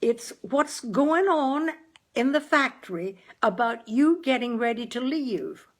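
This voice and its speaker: A woman voicing a gloomy, plainspoken working-class father in a storybook, with folksy grammar and a deliberate, emphatic delivery. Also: fairly high pitch, animated, pitched above the performer's own voice, full character voice. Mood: stiff, loud. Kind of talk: dramatized audiobook character.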